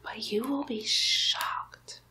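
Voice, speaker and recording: Whispering, cheeky demon nurse played by a woman. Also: takes it slowly. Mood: playful, businesslike. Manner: soft whispering, coaxing, playfully condescending. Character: chatty, cheeky female demon nurse greeting souls in hell. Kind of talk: whispered ASMR roleplay. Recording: faint background noise, close whispered recording.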